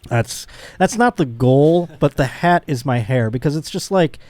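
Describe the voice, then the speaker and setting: A man's voice: fairly high pitch, lively intonation, moderate pace, darkish tone. A middle-aged man hosting a podcast, chatting casually in a rambling, self-deprecating and playfully theatrical way. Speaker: podcast host. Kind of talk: casual comedic podcast chat.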